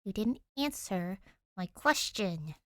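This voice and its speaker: A woman's voice: medium pitch, expressive, slow pace, no room sound, studio quality. A woman voicing a skittish, stubborn young girl in an audiobook, indignant and argumentative. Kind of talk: audiobook character voice, fan fiction reading.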